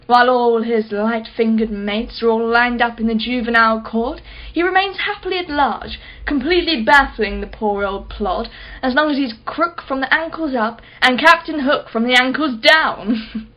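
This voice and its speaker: Woman acting high-voiced, mocking lady customer. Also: normal speed, muffled recording, close to an everyday voice. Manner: sarcastic, wordy, theatrical. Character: wealthy mocking woman customer, skeptical and theatrical. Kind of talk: radio play acting.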